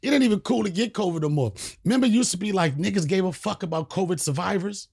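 A man hosting a comedy podcast, freestyling jokey raps and bantering loudly in street slang. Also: sits high, fast talker, gravelly, husky. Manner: loud, animated, swaggering street slang. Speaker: podcast host.

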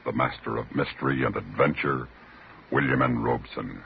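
A man making dramatic, deliberate announcements for a 1950s radio thriller, with an ominous manner. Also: very deep voice, gravelly, slight background noise. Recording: muffled vintage radio recording under loud music.

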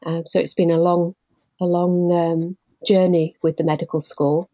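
A woman in her forties from Leeds, a guest telling her story in a measured, thoughtful way with frequent pauses. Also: on the low side, flat delivery, warm tone, no echo. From Leeds, England. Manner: measured and thoughtful, pausing often. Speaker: guest, patient involvement manager. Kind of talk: reflective personal storytelling.

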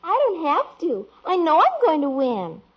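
A confident little American girl in a vintage radio family comedy. Her voice is extremely high and bounces around with earnest certainty. The old recording sounds muffled. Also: huge pitch swings, normal speed, exaggerated voice. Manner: earnest, stubbornly sure. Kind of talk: 1950s radio sitcom acting.

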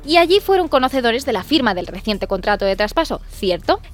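Theatrical high-voiced woman narrator. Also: big pitch swings, fairly quick. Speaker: narrator and mock TV judge-host. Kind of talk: scripted storytelling with theatrical comedy.

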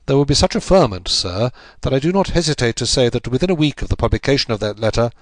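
A man reading aloud, voicing an old, stern prime minister who speaks gravely and with measured weight. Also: mid-range voice, animated, dry recording, pitched above the performer's own voice, lightly acted.

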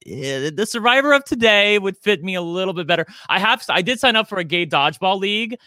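A man's voice: high-pitched, lively intonation, fast, pushed and bright, dry recording. A man in his forties co-hosting a podcast, talking in a chatty, campy, self-deprecating way. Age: 40s. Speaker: podcast co-host. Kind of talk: casual chat.

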